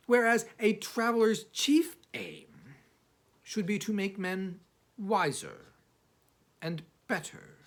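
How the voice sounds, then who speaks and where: A man narrating an old first-person literary text as an audiobook reader, in a measured, formal, oratorical way with emphatic phrasing. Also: sits high, fairly bright tone. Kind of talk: scripted narration of an 18th-century travel satire in first person.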